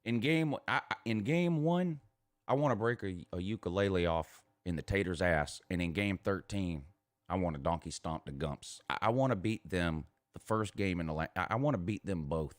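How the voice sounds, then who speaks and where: A man with a deep voice and a Southern US accent bantering about sports. He is emphatic and cocky, often circles back over his own phrases and swears casually. The sound is very dry. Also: animated, normal speed, no room sound. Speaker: morning show host.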